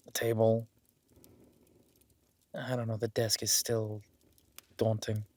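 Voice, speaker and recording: A man playing a young werewolf duke in an intimate audio roleplay, modest and earnest, speaking informally and haltingly as if unsure of himself. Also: medium pitch, darkish tone, dry recording. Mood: sheepish, wistful. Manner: hesitant, self-deprecating, informal, halting.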